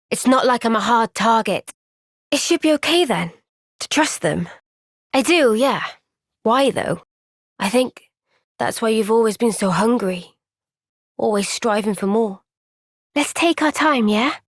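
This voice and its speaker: A woman playing an earnest, gentle young soldier heroine in a fantasy video game, soft-spoken, sincere and thoughtful. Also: on the high side, expressive, measured pace, pauses often, dry recording, clean studio sound. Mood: wistful, earnest, then calm, thoughtful. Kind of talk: video game cutscene voice acting.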